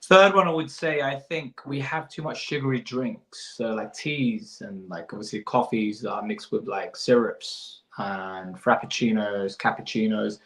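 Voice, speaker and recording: A man's voice: on the low side, fairly even intonation, measured pace, hardly any pauses, fairly bright tone, roomy sound. A man in his thirties, a fitness coach, answering interview questions informally and explaining as he goes, often rephrasing. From born in Iraq, moved abroad as a young child. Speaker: fitness coach and business owner.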